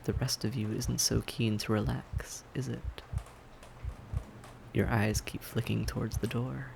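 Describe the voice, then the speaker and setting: Guy doing a smug seductive vampire for roleplay audio, medium pitch, lots of creak, theatrical and condescending, predatory. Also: level tone, a little mellow, slight background noise. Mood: playful, seductive. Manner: teasing, condescending, deliberate theatrical phrasing. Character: suave, predatory male vampire toying with his prey. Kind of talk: scripted roleplay audio drama monologue.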